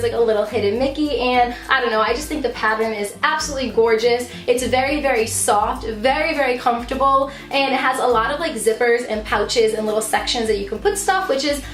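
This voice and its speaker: A woman talks in a fairly high voice that is a little hoarse from overuse. She chats casually to camera like a vlogger, leaning on emphatic repeats. The room adds noticeable echo, and faint music plays behind her. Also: fairly bright tone. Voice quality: slightly hoarse. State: losing voice from talking. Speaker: vlogger, fifth grade teacher. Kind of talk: casual chatty product show-and-tell to camera.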